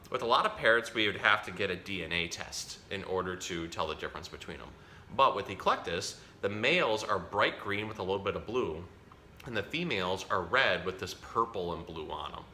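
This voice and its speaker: Bright, low-pitched man in a roomy space. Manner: explains simple words, self-correcting, chatty. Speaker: zookeeper presenting an animal storytime. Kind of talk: casual educational talk for children. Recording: roomy echo, faint background noise.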